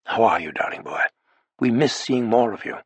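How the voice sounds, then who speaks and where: A man voicing a gracious, affectionate aristocratic hostess who speaks softly and fondly. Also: medium pitch, lively intonation, moderate pace, breathy edge, no room sound.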